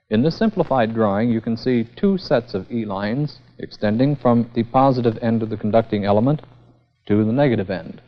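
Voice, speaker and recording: A man giving a formal, methodical scripted technical lecture as the instructor in a vintage educational film. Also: medium pitch. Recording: dull, band-limited vintage 16mm film sound.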